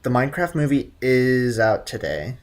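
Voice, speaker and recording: A man's voice: medium pitch, sing-song, normal speed. A man hosting a casual game livestream, commenting and reading chat with blunt, teasing banter. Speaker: livestream host.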